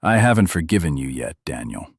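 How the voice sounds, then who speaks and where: A man's voice: rumbling low, big pitch swings, a little fast, breathy edge, dry recording, clean studio sound, voice pushed down, heavily acted. A man voicing a weary young male healer who answers defensively and tiredly in an audiobook. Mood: frustrated.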